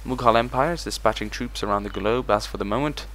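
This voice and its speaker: A man's voice: lowish voice, quick pace, fairly bright tone, slight background noise. A man hosting a let's play, talking through his strategy game moves as he thinks them over. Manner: thinks aloud while managing a strategy game. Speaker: gaming channel host.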